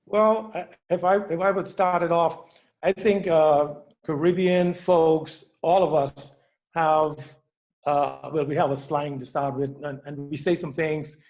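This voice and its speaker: A man's voice: on the high side, fairly even intonation, measured pace, a little mellow. A man in his sixties with a Bahamian accent, talking casually as a panelist and repeating short phrases as he goes. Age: 60s.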